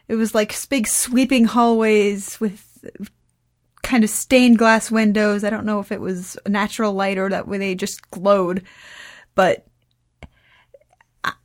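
A teenage girl chatting casually, rambling and interrupting herself with dry humor. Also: medium pitch. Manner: rambling, self-interrupting, dryly joking. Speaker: young podcast creator and guest. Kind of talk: casual chat.

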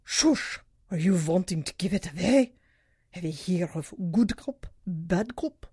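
A woman acting a calculating yet kindly-seeming mentor, with a husky voice and stilted, oddly phrased formal speech, in an amateur audio drama. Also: fairly low pitch, lively intonation, measured pace, warm tone, no echo, studio quality, heavily acted. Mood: scolding. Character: kindly-seeming, calculating Sith mentor, quaintly foreign-sounding.

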